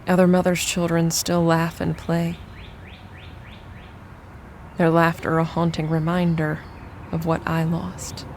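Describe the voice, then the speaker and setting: A woman playing a bereaved mother, emotionally hollow and bitter, giving a somber, measured dramatic monologue. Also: lowish voice, completely flat delivery, darkish tone, slight background noise. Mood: hollow grief. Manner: measured, somber, poetic delivery. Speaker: audio drama actor.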